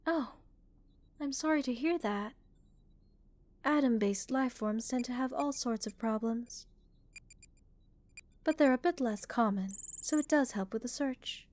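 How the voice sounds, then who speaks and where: A curious female alien scientist, played by a woman, studies her specimen in a fairly high voice, recorded close and dry. She is calm and clinical, thoughtful and gently reassuring, in a relaxing ASMR roleplay. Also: no room sound. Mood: inquisitive.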